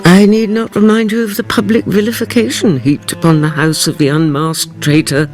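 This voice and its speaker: An actor in a radio drama playing a scornful prosecutor, declaiming pompously like a courtroom orator. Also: male voice, sits high, little pitch movement, normal speed, soft background music.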